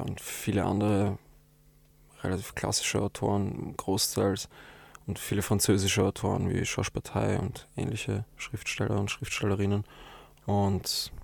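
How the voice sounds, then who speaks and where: A young man whose first language is German speaks in a low, gravelly voice with very little melody. He answers interview questions thoughtfully, pausing and restarting as he searches for words. The recording is close and dry. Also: a little mellow, no echo. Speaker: interviewed poet.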